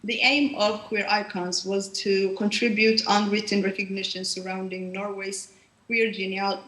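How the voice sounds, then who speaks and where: A woman's voice: mid-range voice, a bit bright. Hesitant woman presenter in an echoey room. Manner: hesitant, frequent fillers and restarts. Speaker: exhibition presenter. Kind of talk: panel presentation.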